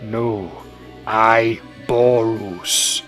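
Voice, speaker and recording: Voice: medium pitch, fairly even intonation, fairly bright tone, soft background music. A man doing an ominous elderly manservant. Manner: slow, halting broken phrasing. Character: towering elderly male castle manservant, ominous.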